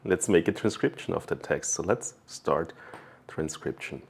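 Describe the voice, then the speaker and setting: A man's voice: sits low, animated, measured pace, creaky, husky. A man giving a casual hands-on product review, talking conversationally and thinking aloud as he goes.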